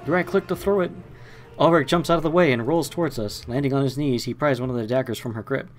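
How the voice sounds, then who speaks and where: A man's voice: medium pitch, quick pace, faint music behind. A man hosting a let's play, reading game narration aloud and commenting casually.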